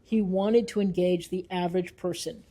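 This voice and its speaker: A woman's voice: fairly low pitch, steady tone, normal speed, mellow. A woman speaking as an interview guest and researcher, earnest and emphatic, repeating phrases for weight. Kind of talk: thoughtful spoken interview.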